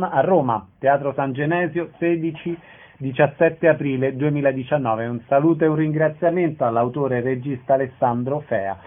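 A man's voice: mid-range voice, phone-quality sound. A man hosting a radio theatre show, asking guests short questions and announcing show dates. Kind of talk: radio interview.

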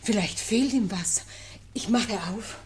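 A woman's voice: fairly high pitch, measured pace, natural voice. An actress playing an anxious, tender-hearted woman of the house in a radio drama, flustered and caring, often repeating her words. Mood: uneasy. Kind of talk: German radio drama acting. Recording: distant, echoey, noisy room.

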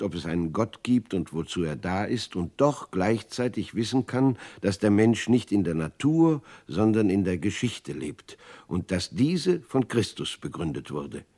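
An earnest intellectual writer in a radio play. His low voice delivers a diary monologue, reflective yet emphatic and declarative. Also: animated, unhurried, darkish tone. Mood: earnest, insistent. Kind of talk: literary radio drama monologue.

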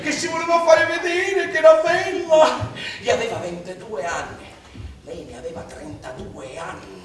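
A man's voice: very high voice, moderate pace, distant mic. An actor playing a naive, boastful young Sicilian farmhand, telling his life in earthy dialect as a theatrical stage monologue. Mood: confiding, sly. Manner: earthy, colloquial, ungrammatical dialect storytelling. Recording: echoey theatre stage from afar, music behind.

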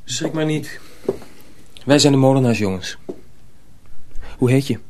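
An actor playing a kindly teenage miller's apprentice, earnest and reassuring, in a radio drama. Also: male voice, mid-range voice, animated, unhurried, a little mellow, a little hiss or hum, close to an everyday voice. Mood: gentle, curious.

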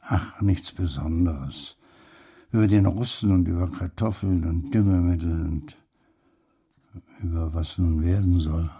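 A man's voice: low-pitched, unhurried, full character voice. An actor playing a wise, earthy old grandfather in a radio play, musing and instructing. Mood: evasive. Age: older. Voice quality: gravelly, mellow.

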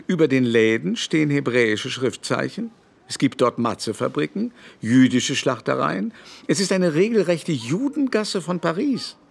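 Pompous, venomous aristocratic baron. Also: male voice, fairly high pitch, animated, normal speed, creaky, slightly rough, full character voice. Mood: indignant, disdainful. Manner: pompous, mannered, declamatory. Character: haughty aristocratic baron, affected, brusque and venomously bigoted. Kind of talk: literary radio drama acting.

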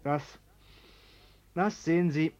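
An actor playing an anxious, ingratiating middle-aged homeowner in a German radio play, over-polite, hesitant and falsely jovial. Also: male voice, fairly high pitch, fairly even intonation, slow pace, a little mellow, highs cut off. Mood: earnest, chummy. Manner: hesitant, over-polite, chummy, nervous chuckling.

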